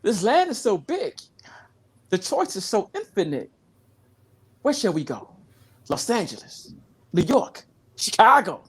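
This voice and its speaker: A woman playing an eager, excitable royal companion with dramatic, exclamatory delivery in a comedy table read. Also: medium pitch, a little slow, pauses often, husky, heavily acted. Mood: awed.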